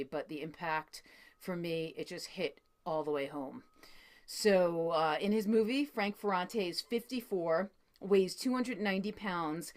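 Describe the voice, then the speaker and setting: A middle-aged woman with a New York accent hosting an interview, speaking earnestly and effusively with many fillers. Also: on the low side. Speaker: podcast host. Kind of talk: interview introduction and chat.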